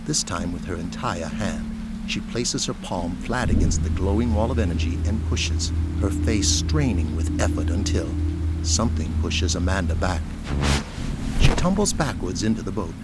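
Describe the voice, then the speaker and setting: A man's voice: low-pitched, animated, moderate pace, over loud music. A man giving scripted narration as the narrator of an audio drama.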